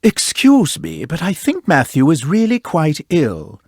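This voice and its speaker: Indignant, kindly king for a children's story, woman performer: stately, animated, protesting. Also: low-pitched, unhurried, no echo, studio quality, heavily acted. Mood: doubtful, displeased.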